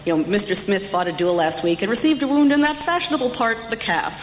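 A woman's voice: medium pitch, sing-song, fast talker. A woman giving a prepared history lecture, speaking articulately and emphatically with a lightly wry touch. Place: large reverberant hall. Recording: echoey hall, muffled, some background noise.

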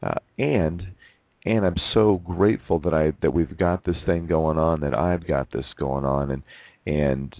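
A man in his forties, hosting a reflective personal monologue, who talks haltingly with many restarts and repeated words. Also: extremely low pitch, steady tone, dry recording. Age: 40s. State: losing his voice from overwork. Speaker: podcast host. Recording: narrow phone-like sound.

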